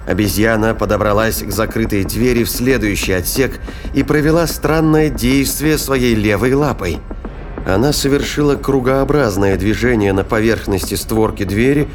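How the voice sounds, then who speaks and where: A man giving scripted dramatic narration of a science fiction thriller in a deliberate, suspenseful manner. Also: mid-range voice, a little hiss or hum. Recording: music behind the voice.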